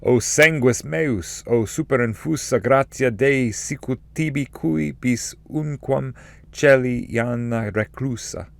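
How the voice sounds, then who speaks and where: Man voicing an exalted ancestral spirit, pitched up, declaiming. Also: takes it slowly, gravelly, no echo. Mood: exalted, reverent. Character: blessed male ancestor spirit in heaven, solemn, loving, joyful. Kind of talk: dramatic reading of epic poetry.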